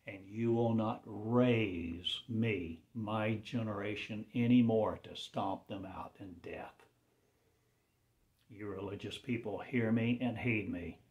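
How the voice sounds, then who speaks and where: A man delivering a rambling spiritual proclamation as a self-styled preacher, in solemn, halting fragments that often sink into hushed, near-whispered phrases. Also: on the low side.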